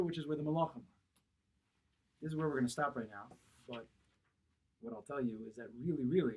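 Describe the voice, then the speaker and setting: A man with a husky, unpolished voice at a middling pitch teaches a religious text as a rabbi would. He explains it phrase by phrase, posing short questions to his listeners and answering them himself. Key words come back again for emphasis. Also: rough recording. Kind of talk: Torah class, explaining a text. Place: small synagogue study room, seated at a table. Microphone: camera a few feet away across the table.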